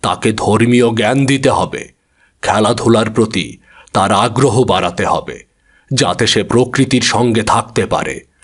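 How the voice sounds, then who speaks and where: A man's voice: lowish voice, measured pace, husky. A man playing an earnest, regretful father, speaking solemnly and reflectively in a scripted cartoon story. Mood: resolved.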